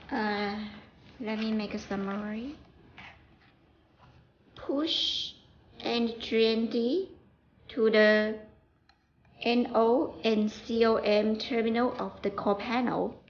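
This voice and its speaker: A woman giving an informal step-by-step product tutorial in halting, non-native English, repeating her instructions. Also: on the high side, muffled recording, a little hiss or hum. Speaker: product support demonstrator.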